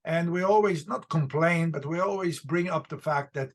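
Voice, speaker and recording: A man hosting an interview, asking questions and telling short stories in a halting way. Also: a little high. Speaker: interviewer and host. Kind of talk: interview conversation.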